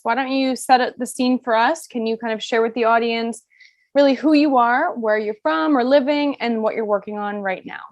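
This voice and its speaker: A woman with a fairly high voice hosts a podcast interview. Her delivery is casual and conversational, and the sound is dry and close with very little room in it. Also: no echo.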